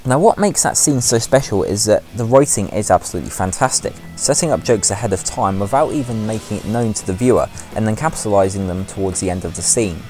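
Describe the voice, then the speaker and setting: A man's voice: on the low side, expressive, fast talker, few pauses, a little mellow. A man presenting an opinionated gaming countdown as a voice-over commentary. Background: music.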